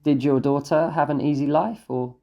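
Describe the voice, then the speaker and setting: A man's voice: fairly high pitch, steady tone, moderate pace, creaky, dark tone, dull audio. A man interviewing a guest on a podcast, asking short, direct questions.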